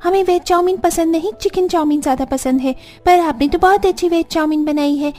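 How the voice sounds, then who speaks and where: Woman as a very high-voiced, chatty young in-law. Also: brisk, a little mellow, soft background music. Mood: delighted. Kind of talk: Hindi family cartoon acting.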